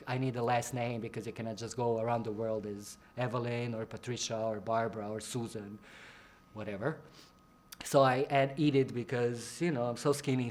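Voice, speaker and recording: A young man from Croatia, a drag performer, answering interview questions in a chatty, playful way, jumping into high voices to act out what other people said.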